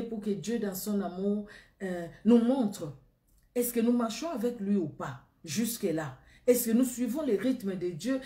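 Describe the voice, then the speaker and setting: A middle-aged woman with a Congolese accent preaches and prays aloud, her words earnest and returning again and again to the same phrases. Her voice is mellow and warm. She is recorded on a webcam in a room that rings with echo. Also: mid-range voice. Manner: earnest, repetitive, rising fervor in prayer. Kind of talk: sermon and spoken prayer. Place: indoor room against a plain wall.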